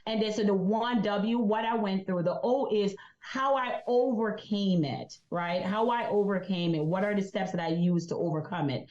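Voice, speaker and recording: Energetic Jamaican woman coaching. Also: mid-range voice, normal speed, hardly any pauses, roomy sound, highs cut off. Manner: energetic, explains step by step. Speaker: guest, business coach. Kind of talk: podcast interview.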